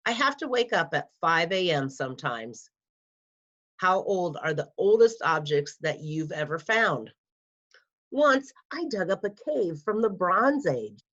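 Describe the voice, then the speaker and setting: A woman with a fairly low voice reads aloud to young pupils like a school librarian. Her delivery is careful and clearly scripted for children. The recording sounds somewhat dull and lo-fi. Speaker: school media specialist reading an article aloud to pupils.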